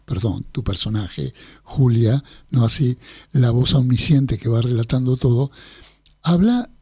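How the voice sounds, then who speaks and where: An Argentine man with a Rioplatense accent hosting a literary radio interview, asking long, measured, meandering questions. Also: medium pitch, lively intonation, a little mellow, husky, no echo. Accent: Rioplatense Spanish. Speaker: radio host. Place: radio studio.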